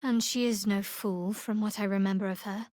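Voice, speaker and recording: An actress playing a guarded, sardonic young female cleric of a dark goddess in a fantasy video game, speaking in a measured, dryly wry way. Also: mid-range voice, steady tone, no room sound, studio quality, natural voice. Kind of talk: video game voice lines, dramatic fantasy acting.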